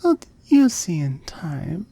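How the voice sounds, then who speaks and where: A woman acts out an immortal oni demoness in an ASMR roleplay, seductive and possessive. The voice is dark, soft and breathy, often sinking to a whisper. Lines come slowly, teasing and commanding the listener. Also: on the low side, very animated, dry recording, exaggerated voice.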